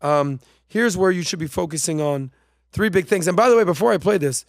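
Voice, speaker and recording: A man's voice: sits high, brisk, no room sound, studio quality. A man hosting a podcast, talking in a casual, emphatic and slangy way while commenting on played clips. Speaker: podcast host.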